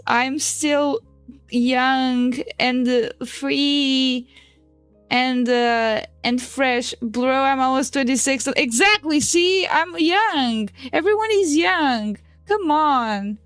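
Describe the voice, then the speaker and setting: Slow, smooth-voiced young woman streamer. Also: sits high, no room sound. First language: Portuguese. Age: 20s. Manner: chatty, swears and laughs often. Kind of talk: casual chat while drawing.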